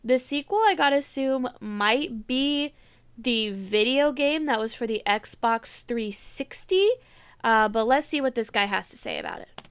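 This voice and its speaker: A woman's voice: on the high side, measured pace, smooth. A woman hosting a reaction video in a casual, blunt, chatty way, congested with a cold.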